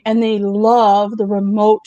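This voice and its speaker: A woman's voice: medium pitch, little pitch movement, slow pace, mellow, dry recording, dull audio. A woman host who chats casually, laughs often and reads aloud from her notes. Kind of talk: casual chat.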